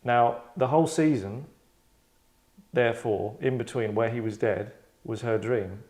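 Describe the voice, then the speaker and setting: A man's voice: mid-range voice, a little slow, darkish tone. A man hosting a table read in a rambling, digressive, tongue-in-cheek chat. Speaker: host and writer running a table read.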